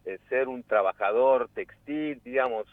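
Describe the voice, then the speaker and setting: A man's voice: mid-range voice, measured pace, no echo. A man around sixty who grew up in Peru, an actor speaking as a guest in a radio interview, reflective and repeating words as he searches for them. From Peru, raised in Cusco. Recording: tinny phone line, dry.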